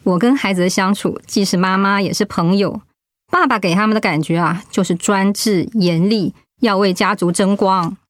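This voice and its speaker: A middle-aged wife and mother, played by a woman in a radio drama, proud and stubborn. She talks bluntly with a sardonic edge at a medium pitch. The sound is close and dry. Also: normal speed, no echo, natural voice. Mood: bitter, resigned.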